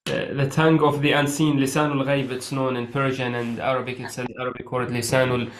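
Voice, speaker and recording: Man, interview host, measured and halting, lots of fillers, roomy echo. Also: mid-range voice, little pitch movement, a little hiss or hum. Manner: hesitant, measured, many filler sounds. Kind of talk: interview questions.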